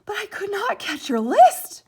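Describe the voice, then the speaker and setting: A woman voicing a patient, sensible, kind male frog in a children's storybook, with a gentle, reassuring way of talking. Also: very high-pitched, wildly swooping pitch, measured pace, pitched above the performer's own voice, heavily acted. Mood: apologetic.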